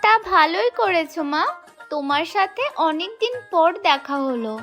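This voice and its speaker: A woman plays a dutiful young wife and caring elder sister in a cartoon folk tale. The voice is extremely high and girlish, with an earnest, soft-hearted delivery. Faint music plays behind it. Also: lively intonation, moderate pace. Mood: welcoming.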